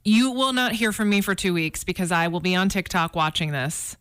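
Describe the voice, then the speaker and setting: A woman's voice: fairly low pitch, lively intonation, a little fast, fairly bright tone. A middle-aged woman in casual, humorous talk show chat, telling gossipy stories with emphatic exaggeration. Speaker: radio talk show regular.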